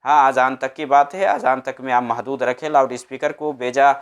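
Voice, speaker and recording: A man's voice: mid-range voice, little pitch movement, moderate pace, clear, resonant tone. A man giving religious advice as a preacher, didactic and emphatic, repeating his points in short phrases. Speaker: Islamic preacher answering a religious question.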